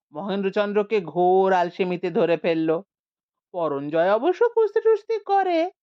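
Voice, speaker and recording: A woman narrating a story aloud with a very expressive manner. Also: no room sound, highs cut off. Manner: very expressive, wide-swinging pitch. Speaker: audiobook narrator.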